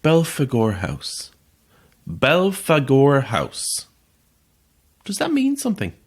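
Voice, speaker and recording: A man's voice: fairly high pitch, huge pitch swings, slow, husky, heavily acted. A man playing a sceptical, grumbling holidaymaker in a naturalistic audio horror drama, with a dry, terse, questioning manner. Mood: dry, curious. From Ireland.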